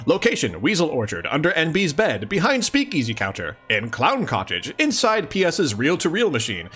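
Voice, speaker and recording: A man's voice: a little high, huge pitch swings, moderate pace, bright, forceful tone, slightly rough, soft background music. A man doing a casual live read-along of a comic, reading expressively and adding mock-incredulous asides. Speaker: streamer reading a comic aloud.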